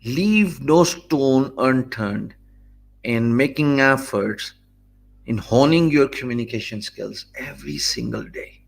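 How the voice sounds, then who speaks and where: A man's voice: medium pitch, gravelly, a little hiss or hum. A Pakistani man, English not his first language, giving an earnest video lesson from a small home office with many hesitant fillers. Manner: earnest and measured, many "uh" fillers and restarts, gestures with his hands. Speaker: online business coach. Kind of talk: talking-head video lesson. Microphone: stand mic close in front, webcam framing.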